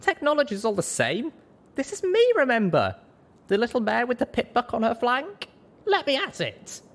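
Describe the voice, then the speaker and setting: Man voicing a high-pitched plucky young mare. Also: wildly swooping pitch, normal speed, heavily acted. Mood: cocky, boastful. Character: plucky young female pony adventurer, cocky, easily exasperated.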